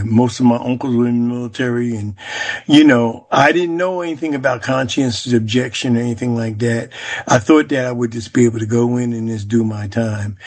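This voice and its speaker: An American man in his seventies, from New Jersey, shares personal history as an interviewee. His voice sits at a middle pitch. He speaks reflectively and haltingly, pausing often as he gathers his words. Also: a little mellow. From Jersey City, New Jersey, United States. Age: 70s. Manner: reflective, halting, with frequent pauses. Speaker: interviewee, Vietnam War veteran.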